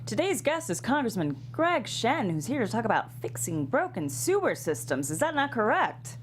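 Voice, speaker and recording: A woman's voice: on the high side, big pitch swings, moderate pace, slight background noise. A woman playing a pompous, indignant news anchor in their forties in a comedy table read, formal and confrontational. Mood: crisp, official.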